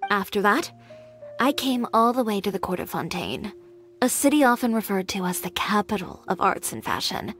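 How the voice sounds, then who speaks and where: A woman's voice: mid-range voice, sing-song, moderate pace, fairly bright tone. A woman playing a determined young fashion designer in a video game, composed, assertive and dryly self-assured. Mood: reflective. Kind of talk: video game dialogue voice acting. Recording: music behind the voice.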